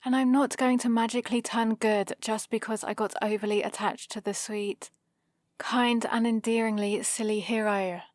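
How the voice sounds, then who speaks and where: A British woman playing a guarded female supervillain who is awkwardly soft on her hero, in a hesitant, defensive ASMR roleplay style. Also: fairly high pitch, fairly even intonation, moderate pace, no room sound, studio quality, close to an everyday voice. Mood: grudging, exasperated. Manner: hesitant, defensive, softly exasperated.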